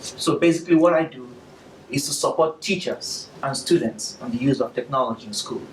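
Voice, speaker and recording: A man with a Nigerian accent giving a presentation to an audience in a measured, emphatic way. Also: medium pitch, echoey room, recorded from a distance, slight background noise, low-quality recording. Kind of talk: capstone presentation.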